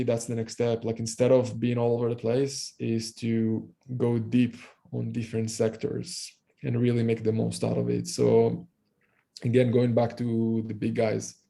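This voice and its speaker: Gravelly, dark-voiced man in his thirties. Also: mid-range voice. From Israel. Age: 30s. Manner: fast, loose talk with many fillers and restarts. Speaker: guest entrepreneur. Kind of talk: casual interview talk. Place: room at home. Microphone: video call.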